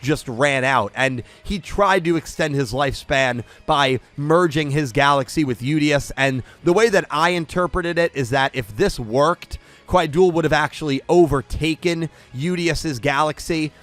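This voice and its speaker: A man's voice: on the high side, a little slow, few pauses, fairly bright tone. A young man with a head cold, hosting an anime episode review in an enthusiastic, rambling way. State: congested from a cold. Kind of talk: casual solo video review.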